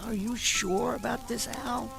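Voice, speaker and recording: An actor playing an earnest, put-upon but loyal husband in a radio drama, with emphatic, dramatic delivery. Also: male voice, extremely high pitch, fairly even intonation, a little slow, creaky, full character voice. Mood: concerned. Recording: loud music under the voice.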